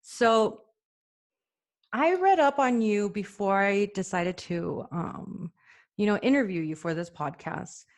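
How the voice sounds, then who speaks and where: A woman's voice: mid-range voice, takes it slowly. A woman hosting a podcast, introducing her guest and asking questions in an upbeat, enthusiastic way. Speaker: podcast host. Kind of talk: casual interview.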